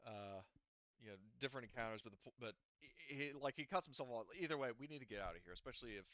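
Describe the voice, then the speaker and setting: A man guides players through a tabletop game in loose, halting speech full of restarts. His voice is bright and smooth, recorded dry and up close on somewhat dull, lo-fi audio. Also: medium pitch, animated, no room sound. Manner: halting, many restarts and fillers. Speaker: game master. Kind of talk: casual tabletop game narration.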